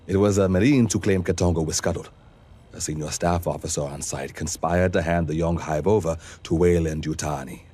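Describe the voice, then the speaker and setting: An actor playing a composed, formal intelligence informant in a video game, briefing in a measured, detached way. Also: male voice, sits low, warm tone, slightly rough. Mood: detached, informative.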